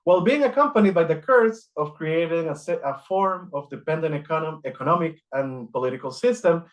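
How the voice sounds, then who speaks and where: A middle-aged man from Costa Rica giving an academic lecture, reading from notes in a measured way with frequent "uh" fillers. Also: a little high, lively intonation. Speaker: guest lecturer.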